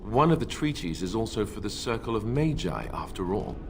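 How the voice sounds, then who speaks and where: A wary warrior companion. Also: male voice, on the low side, big pitch swings, fairly quick, noisy background.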